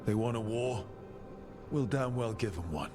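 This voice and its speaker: A man as a wry mid-pitched fantasy hero. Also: expressive, normal speed, mellow, soft background music, natural voice. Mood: determined. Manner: dry, casual, confident. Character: wry, resolute young adult male fantasy hero. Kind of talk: fantasy video game dialogue.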